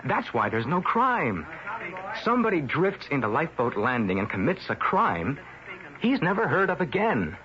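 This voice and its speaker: A man's voice: a little high, sing-song, moderate pace, sharp tone. An actor playing an earnest newspaper reporter in a radio drama, pressing his point and tripping over his words. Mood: urgent. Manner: insistent, stumbles over words. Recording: dull lo-fi old radio broadcast.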